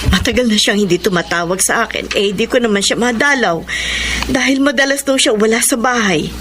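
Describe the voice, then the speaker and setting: A woman's voice: on the high side, fairly quick, lightly acted. An actress playing a sorrowful mother-in-law with a plaintive, pleading manner in a Filipino radio drama. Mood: regretful, wistful. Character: sorrowful mother-in-law missing her daughter. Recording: noisy radio drama recording.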